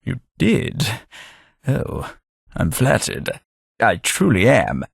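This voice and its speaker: A man with a British accent voicing a centuries-old, courteous gentleman companion in a video game, formal and dryly wry. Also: mid-range voice, wildly swooping pitch, slow, vocal fry, slightly rough, no echo, clean studio sound, exaggerated voice. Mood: wistful.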